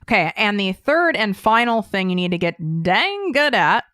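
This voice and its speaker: A woman's voice: mid-range voice, big pitch swings, moderate pace, fairly bright tone, no echo, clean studio sound. An American woman hosting a podcast, speaking in a blunt, emphatic coaching style and casually swearing as she talks straight to her listeners. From United States. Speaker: podcast host. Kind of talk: casual solo coaching talk.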